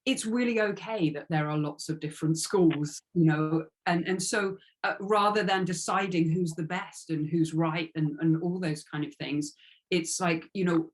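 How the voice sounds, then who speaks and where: Female interview guest, low register, thoughtful and searching delivery with repeated words. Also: normal speed. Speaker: interviewed author. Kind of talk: reflective interview conversation.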